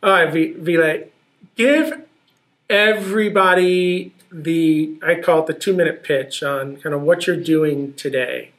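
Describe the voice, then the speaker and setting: An eager male podcast host with a voice somewhat higher than usual. He talks in a casual way and stumbles over repeated words as he gets into his questions. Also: a little slow, fairly bright tone. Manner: eager, repeats words when starting sentences. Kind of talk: casual interview hosting.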